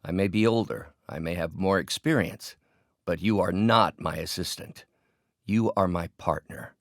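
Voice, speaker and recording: An actor playing an older scientist in a video game, an earnest, proud and increasingly bitter mentor with deliberate, articulate, theatrical delivery. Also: male voice, sits low, vocal fry, no room sound, studio quality. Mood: earnest, reflective. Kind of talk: dramatic video game voice acting.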